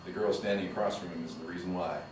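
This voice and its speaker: Deep-voiced man reading stage directions. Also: steady tone, fast. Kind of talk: screenplay table read narration. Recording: echoey room from a distance, noisy.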